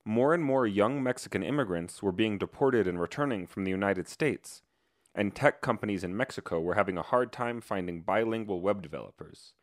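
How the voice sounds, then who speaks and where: Male reporter narrating news, low voice. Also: dry recording, clean studio sound. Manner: measured, even delivery.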